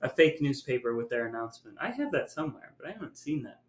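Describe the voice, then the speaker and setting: A man's voice: mid-range voice, sing-song, fast. A middle-aged American man hosting a podcast in a casual, conversational style. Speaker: podcast host. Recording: roomy sound with music.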